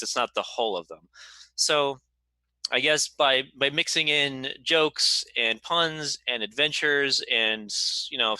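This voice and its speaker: A man's voice: mid-range voice, pushed and bright, no echo, rough recording. A man giving candid, reflective answers as a game writer, hesitant and self-deprecating as he thinks aloud. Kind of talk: candid conversational panel answer.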